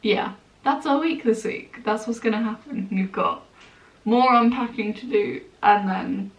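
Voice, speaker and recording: A woman's voice: on the high side, unhurried, vocal fry, recorded from a distance. A woman in her twenties with a congested head cold, chatting casually to the camera in a sparsely furnished room at home. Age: 20s. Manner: chatty, rambling, with fillers and small laughs. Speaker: vlogger. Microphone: handheld compact camera. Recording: roomy echo, voice a bit off-mic.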